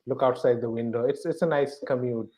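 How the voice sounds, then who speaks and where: A man with a Bengali Indian accent talks casually as an interview guest. He is a native Bengali speaker, and he feels his way through answers, pausing and repeating words. His voice sits at a medium pitch. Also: warm tone. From West Bengal, eastern India. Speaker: podcast guest, bank professional. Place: at home.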